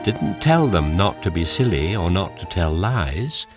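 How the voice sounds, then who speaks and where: A man narrating a children's story in an expressive storytelling style. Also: sits low, unhurried, over music.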